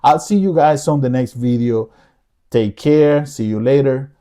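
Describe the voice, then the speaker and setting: Vlogger, middle-aged man from the Dominican Republic; mellow, dark tone; earnest, repeats himself and restarts lines. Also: mid-range voice, unhurried. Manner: earnest, repetitive, frequent restarts. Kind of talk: casual opinion monologue.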